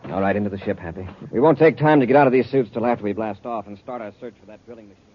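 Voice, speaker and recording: An actor playing a brave, decisive space patrol commander in a 1950s radio adventure serial, giving crisp orders on a noisy old recording. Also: male voice, fairly low pitch, very fast, dry recording, dull audio, close to an everyday voice. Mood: steady, alert.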